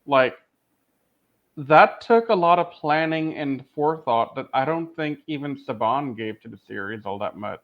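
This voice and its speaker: A man's voice: medium pitch, expressive, measured pace. A man speaking emphatically and enthusiastically as a panelist in a casual podcast review discussion. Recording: dull, lo-fi microphone audio.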